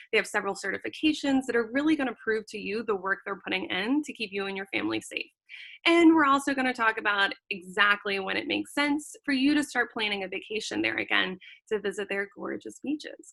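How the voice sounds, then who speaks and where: A woman's voice: mid-range voice, bright, forceful tone. A woman hosting a travel show interview over a video call, upbeat and eager.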